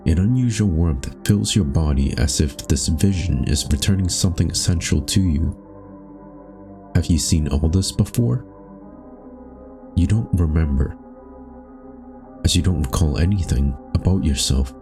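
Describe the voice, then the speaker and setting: A man reading scripted second-person narration for a dark existential story in a solemn, measured, brooding manner. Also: deep voice, music in the background.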